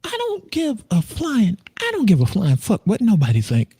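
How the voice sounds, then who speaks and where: A man's voice: high-pitched, huge pitch swings, normal speed, mellow, slightly rough, no echo. An American man in his thirties chatting casually as a co-host, joking, repeating himself and swearing freely. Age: 30s. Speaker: podcast co-host and streamer. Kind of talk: casual group chat.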